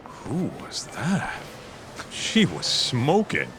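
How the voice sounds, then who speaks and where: A man's voice: medium pitch, huge pitch swings, drawn-out words, heavily acted. An actor playing a streetwise, nosy male detective sidekick in a video game, laid-back, blunt and slangy. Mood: curious, surprised. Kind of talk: English game dub cutscene acting. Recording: music clearly behind the dialogue.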